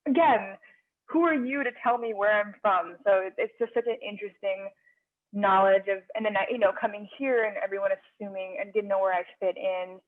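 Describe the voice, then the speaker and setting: A young woman from Nepal sharing a casual, animated story as an audience member on a video call. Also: medium pitch, fairly quick. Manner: chatty, animated storytelling with many fillers. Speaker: audience participant. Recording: tinny phone-like video call audio.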